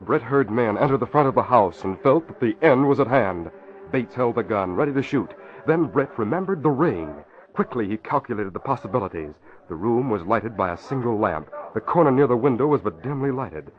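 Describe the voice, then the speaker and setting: A man's voice: medium pitch, expressive, fairly quick. A man narrating as an announcer in dramatic, emphatic 1940s radio style. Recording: muffled old radio broadcast.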